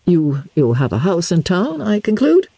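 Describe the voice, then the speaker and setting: A woman playing a genial, talkative older gentleman with an effusive, flowery, gallant manner in an audiobook. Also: lowish voice, wildly swooping pitch, normal speed, vocal fry, no echo, voice pushed up, full character voice. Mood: affable.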